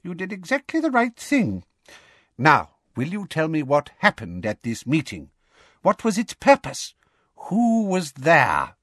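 A man's voice: fairly high pitch, huge pitch swings, a little slow, fairly bright tone, no echo, clean studio sound, pitched above the performer's own voice, full character voice. A man voicing a pompous older male minister in an audiobook, blustering, hearty and patronising. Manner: hearty, bumbling, patronising, easily angered.

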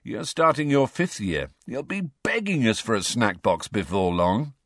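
A man's voice: medium pitch, very animated, unhurried, fairly bright tone, no echo, studio quality, voice pushed up, exaggerated voice. Man voicing a cheeky teenage prankster boy. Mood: knowing, teasing. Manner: teasing, breezy. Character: cheeky, teasing teenage prankster older brothers.

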